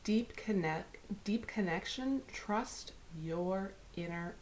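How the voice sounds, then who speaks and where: A woman's voice: mid-range voice, lively intonation, a little hiss or hum. Woman with a head cold reading tarot. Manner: soft, unhurried, with whispered invocations. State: head cold, raspy throat. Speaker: tarot reader.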